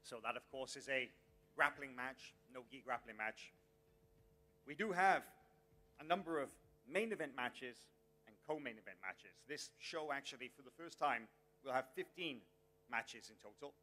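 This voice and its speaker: Male host, bright, forward tone, formal fighter introductions. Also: mid-range voice, lively intonation, moderate pace. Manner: formal, announcer-like delivery. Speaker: event host. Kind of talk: press conference introductions.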